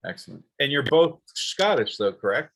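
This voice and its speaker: A man's voice: mid-range voice, very animated, moderate pace, gravelly, fairly bright tone. A man hosting a golf podcast interview, chatting enthusiastically and asking friendly questions. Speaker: podcast host. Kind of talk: casual interview chat.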